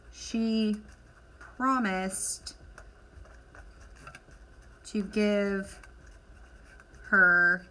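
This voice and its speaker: A woman teacher leading an instructional lesson for children, asking questions, encouraging answers and dictating deliberately as she writes. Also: mid-range voice, sharp tone, rough recording. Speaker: elementary school teacher.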